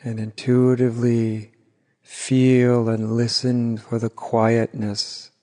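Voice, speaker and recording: A man leading an unscripted guided meditation as a teacher, gently and unhurriedly, with long pauses. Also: medium pitch, monotone. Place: large reverberant room at a retreat. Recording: hall reverb, live retreat recording.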